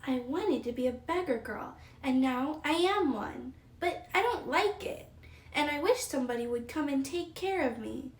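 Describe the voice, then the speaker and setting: A woman's voice: sits high, expressive, moderate pace. A woman playing a spoiled, discontented little rich girl of ten in a storybook reading. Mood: forlorn. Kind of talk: scripted character reading. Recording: roomy, distant mic, echo.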